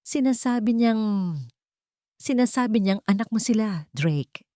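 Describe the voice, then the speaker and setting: A woman's voice: a little high, lively intonation, a little slow, mellow, dry recording, pitched above the performer's own voice. A scheming young bride-to-be with money on her mind, as a woman storyteller puts her on. She is jealous and theatrical, able to turn on menace or tears. The sound is dry, fit for a romance audio drama. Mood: anguished. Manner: melodramatic, menacing or sobbing. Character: scheming, jealous rich fiancée, cold and tearful.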